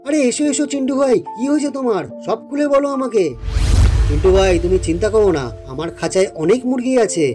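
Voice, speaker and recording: A man playing a kindly, grateful villager who speaks in an earnest, friendly way in a children's animated folk tale. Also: high-pitched, fast, music in the background, close to an everyday voice. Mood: welcoming, helpful.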